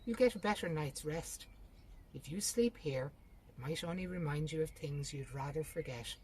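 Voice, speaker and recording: A bossy older sister played by a woman reading aloud. She is practical, brisk and short on patience. It is a storybook reading with slight noise behind it. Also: deep voice, expressive, darkish tone. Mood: practical, persuasive.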